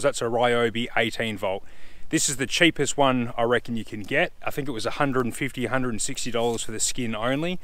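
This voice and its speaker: An Australian man in his thirties talks plainly and casually to the camera. His manner is blunt and chatty, and he swears now and then without fuss. He speaks at a medium pitch, from a camera held at arm's length outdoors. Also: no echo. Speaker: camping vlogger. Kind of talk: casual outdoor gear talk. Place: outdoors in open bushland, and in the back of a truck canopy.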